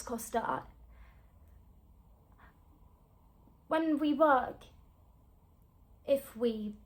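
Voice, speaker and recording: A woman performing a naturalistic dramatic monologue as a weary, fragile young actress who is wounded but striving for faith, speaking in halting, broken phrases with long pauses. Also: a little high. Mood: earnest resolve. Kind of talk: naturalistic screen-acting stage monologue.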